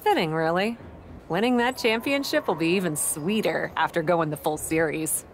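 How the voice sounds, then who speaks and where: A woman's voice: lowish voice, moderate pace, a little hiss or hum, natural voice. An actress playing a confident, playful woman wrestler in a video game cutscene. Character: confident, punning female wrestling champion.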